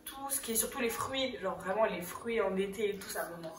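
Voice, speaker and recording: A young woman talks fast and casually, in slang, laughing readily as she chats. Her voice sits at a middle pitch. She sounds set back from the mic in an echoey room. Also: far from the mic, a crowd in the background. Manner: chatty, laughs often, slangy. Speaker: youtuber co-host. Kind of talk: casual chat.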